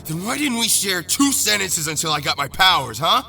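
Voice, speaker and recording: A man's voice: high-pitched, very animated, moderate pace, creaky, sharp tone, faint music behind, heavily acted. A man playing an embittered, brainwashed young warrior in an audio drama, intense and bitter. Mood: bitter, accusing.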